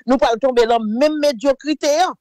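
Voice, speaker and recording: A woman's voice: high-pitched, a little fast, darkish tone. A woman with a Haitian accent speaking emphatically and passionately in a political discussion, switching between French, Creole and English.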